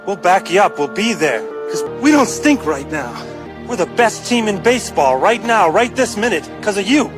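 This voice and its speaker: An earnest baseball teammate in a film drama, offering support in a natural way. His voice is high for a man, with music clearly underneath. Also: sing-song, normal speed. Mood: earnest, rallying. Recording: loud music under dialogue.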